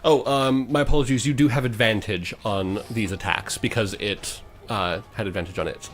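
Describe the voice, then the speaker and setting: A man's voice: fairly low pitch, fairly even intonation, moderate pace, faint music behind. A man narrating a tabletop role-playing game as game master.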